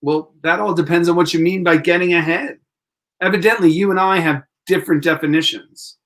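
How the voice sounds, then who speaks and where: A man's voice: on the high side, fairly even intonation, brisk, fairly bright tone. An actor playing an idealistic, earnest lawyer in a 1940s-style radio play, pleading his case. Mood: earnest, hurt.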